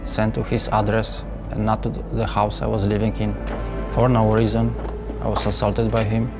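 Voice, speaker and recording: A man from Poland tells his story in a low, almost monotone voice, each sentence steady and unhurried. A soft music bed sits under his voice-over. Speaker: trafficking survivor telling his story. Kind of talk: calm first-person voice-over testimony. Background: soft background music.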